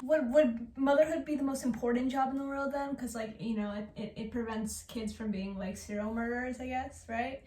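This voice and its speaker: A woman's voice: on the high side, fairly even intonation, fairly quick, few pauses, warm tone, roomy sound. A woman giving casual reaction commentary as the host of a video.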